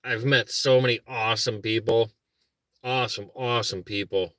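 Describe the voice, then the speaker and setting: A middle-aged man with a Minnesota accent rambles to his viewers from inside his car. He chats loosely and pokes fun at himself. His voice is bright and forward, and the recording is close and dry with no room around it. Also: mid-range voice, steady tone, moderate pace, no room sound. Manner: rambling, self-deprecating, talks to viewers and his dog. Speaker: car-camping vlogger. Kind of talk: casual live chat. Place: parked car while car camping.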